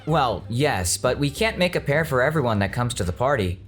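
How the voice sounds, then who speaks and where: An earnest, nerdy young male student, acted out by a man for an audio drama. His pitch moves around in a lively way and he holds his ground. Faint music plays behind him. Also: a little fast, natural voice. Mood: eager explaining.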